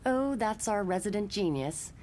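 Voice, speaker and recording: A woman's voice: mid-range voice, animated, normal speed, a little mellow, slight background noise, natural voice. A woman voicing a friendly, knowing young telepath who guides a newcomer in a motion comic, chatty and matter-of-fact. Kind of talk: expository motion comic voice acting.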